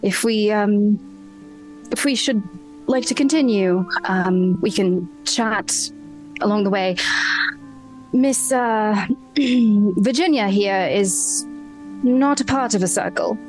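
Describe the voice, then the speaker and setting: A woman with a mid-range voice role-plays at a tabletop game. She is very slow and measured, halting between phrases, over background music. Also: medium pitch, darkish tone, low-quality recording. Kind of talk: slow in-character role-play and table talk.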